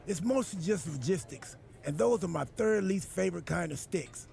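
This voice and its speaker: An actor playing a quirky, self-important general manager in a wrestling video game, rambling and absurdly literal in a gravelly voice. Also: male voice, lively intonation, normal speed, darkish tone, heavily acted. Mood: cheerfully oblivious. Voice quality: gravelly, high husky voice.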